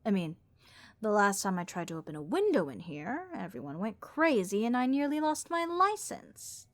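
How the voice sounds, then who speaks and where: A woman voicing a bored, snarky harpy copilot in a comedic audio roleplay, with a raspy vocal fry and overdramatic, deadpan complaints. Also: medium pitch, normal speed, dry recording, natural voice. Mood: bored, complaining. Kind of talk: comedic audio roleplay monologue addressed to listener.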